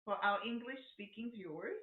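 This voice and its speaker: A woman's voice: on the high side, brisk. A woman hosting a casual conversation, guiding it along and summing up her guest's points. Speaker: host. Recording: echoey, dull room sound.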